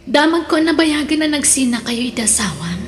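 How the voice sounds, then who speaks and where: A woman's voice: sits high, animated, a little fast. A woman playing a young, wilful daughter and lover in a radio drama, with an Ilocano accent and a noisy recording. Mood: friendly.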